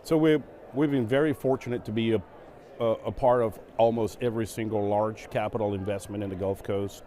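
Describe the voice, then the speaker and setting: Middle-aged Venezuelan man, Spanish native, fairly low and warm voice, relaxed conference-stage interviewee with lots of fillers. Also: a little fast. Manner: relaxed, with many fillers and repeated words. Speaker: guest, operations executive.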